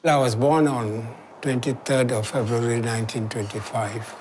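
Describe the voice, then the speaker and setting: A man's voice: medium pitch, fairly even intonation, moderate pace, darkish tone, a little hiss or hum. A man in his eighties recalling wartime hardship in a matter-of-fact documentary interview. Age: 80s.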